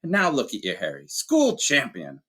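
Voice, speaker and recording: A man voicing a big, tearful, warm-hearted half-giant gamekeeper in a hoarse, croaky voice, with broken, emotional country speech. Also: medium pitch, wildly swooping pitch, moderate pace, pitched above the performer's own voice, full character voice. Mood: fond. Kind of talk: audiobook character voice.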